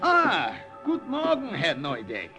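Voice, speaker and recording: An actor playing a guarded older German ex-professor with a German accent and gravelly voice, halting and placating, in an old radio drama. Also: male voice, sits high, wildly swooping pitch, sharp tone, exaggerated voice. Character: older German ex-professor, hunted dissident posing as friendly guest. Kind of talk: 1940s-50s radio drama acting. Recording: old radio recording with music.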